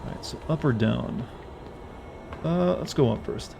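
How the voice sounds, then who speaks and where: A man streaming a game, chatting wryly with joking asides, his voice a bit raspy. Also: mid-range voice, animated, measured pace, noisy background. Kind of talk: live gameplay commentary. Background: faint game music.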